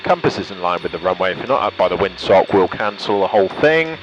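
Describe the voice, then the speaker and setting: A man's voice: low-pitched, expressive, quick pace, dull audio, over music. A man talking as a pilot, mixing easygoing, jokey casual chat with cockpit radio calls and commentary. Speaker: pilot and vlogger. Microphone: headset. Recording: engine drone, radio headset in small plane.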